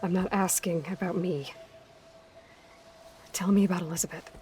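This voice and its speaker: A woman's voice: fairly low pitch, fairly even intonation, normal speed, darkish tone, faint music behind, lightly acted. A young actress playing a determined female hunter in a video game, asking earnest, pressing questions. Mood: curious. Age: young adult. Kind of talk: video game cutscene acting.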